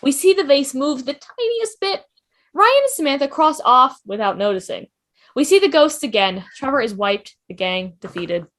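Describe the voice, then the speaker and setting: Lady reading stage directions, high voice, very lively pitch, expressive. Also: normal speed. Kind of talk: scripted narration, table read. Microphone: video call.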